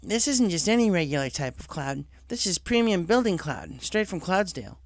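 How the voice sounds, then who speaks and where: A man's voice: high-pitched, sing-song, moderate pace, no echo, voice pushed up. A man voicing a confident, casual young female pegasus pony in a story reading. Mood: proud.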